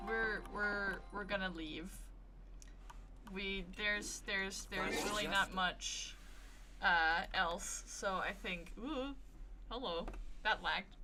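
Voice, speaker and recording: A woman's voice: mid-range voice, slow pace. A woman livestreaming a video game with casual, wry, sweary reactions, sounding tired. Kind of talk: casual reactive gameplay commentary. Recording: game music behind voice.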